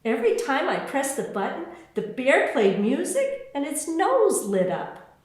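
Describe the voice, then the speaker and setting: Female children's book reader, unhurried and very animated in pitch, heard in a strongly reverberant room. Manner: expressive, deliberate storytelling with spaced phrases. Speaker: author reading her own book. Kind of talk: children's picture book read-aloud. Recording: very echoey room.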